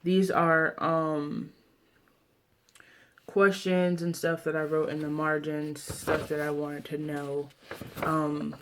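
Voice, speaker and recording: A woman's voice: low voice, background noise. Young American woman chatting casually, rambling. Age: 20s. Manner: rambling, self-interrupting, trailing off. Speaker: video blogger. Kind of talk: casual vlog chat.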